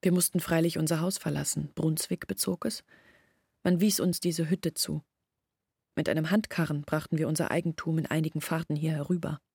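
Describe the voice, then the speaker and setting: An actress playing a village woman in a radio drama, recounting her outcast family's shame in a measured, reflective way. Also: low voice, steady tone, gravelly, a little mellow, husky, no room sound, studio quality, heavily acted. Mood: sorrowful recollection. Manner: measured, reflective, articulate storytelling. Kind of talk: literary radio drama monologue.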